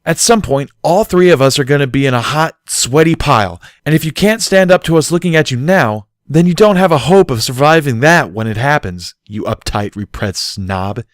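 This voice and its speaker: A bossy, blunt mare from a storybook, acted out by a male reader, pitched well up. She scolds emphatically with a sharp tongue, in a dry recording. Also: lively intonation, moderate pace, few pauses, no room sound, lightly acted. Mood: demanding.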